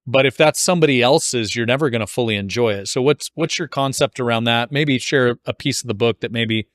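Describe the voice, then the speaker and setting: A man's voice: medium pitch, a little fast, no echo, clean studio sound. A man hosting a podcast interview in a casual, informal, jokey way, building up loosely to his questions. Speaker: podcast host interviewing an author.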